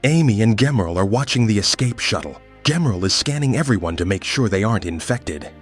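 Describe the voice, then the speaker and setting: A man acting a grim, guilt-ridden ninja chameleon in a comic dub, speaking in a solemn, measured way. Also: fairly low pitch, expressive, faint music behind, close to an everyday voice. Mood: grave, tired.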